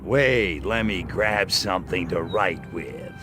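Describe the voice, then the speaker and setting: A man playing a small, cocky, sadistic alien invader in a video game, with a gravelly, sardonic, menacing drawl. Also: sits low, slow. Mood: wry. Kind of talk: video game cutscene acting. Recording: music behind the voice.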